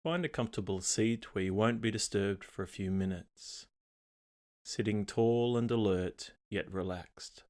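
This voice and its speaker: Low-pitched man guiding a meditation. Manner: calm, unhurried, measured, with pauses between phrases. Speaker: meditation guide. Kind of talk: scripted guided meditation.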